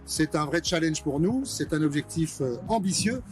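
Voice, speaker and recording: A man speaking as a local government official, giving a prepared institutional statement in a measured way with frequent hesitations. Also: on the high side, expressive, over music.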